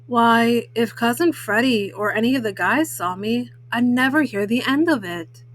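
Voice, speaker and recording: A woman's voice: fairly high pitch, normal speed, a little hiss or hum, natural voice. A woman voicing a dismissive older brother bear cub in a children's storybook, grumbling like a young boy.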